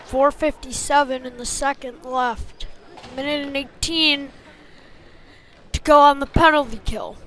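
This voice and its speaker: Female hockey play-by-play commentator, high pitch, slowish clipped calls, live venue noise. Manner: short clipped phrases, excited on big plays.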